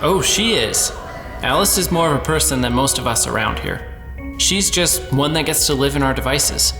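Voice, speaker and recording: A man's voice: medium pitch, expressive, moderate pace, close to an everyday voice. An actor playing an earnest male reporter on a colony world, with formal, probing delivery, in a sci-fi audio drama. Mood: earnest, inquiring. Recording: echoey hall, crowd and music behind.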